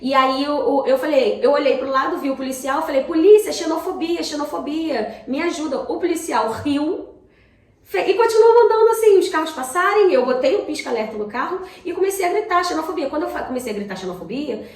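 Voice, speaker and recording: Higher-voiced Brazilian woman, echoey room. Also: expressive, a little fast. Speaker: talk show co-host. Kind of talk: casual conversation, personal anecdotes.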